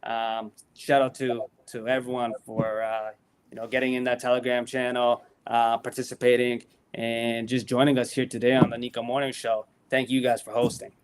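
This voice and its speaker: A man appearing as a guest on a casual show, upbeat as he pitches features and talks with many fillers. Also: level tone, normal speed, a little hiss or hum. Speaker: guest promoting a sports app. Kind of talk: casual promotional chat.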